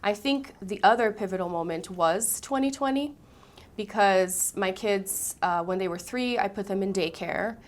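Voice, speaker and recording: Brazilian-born woman guest, earnest and reflective storyteller, mid pitch. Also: moderate pace. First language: Portuguese. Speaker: guest, singer and speaker coach. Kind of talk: interview conversation.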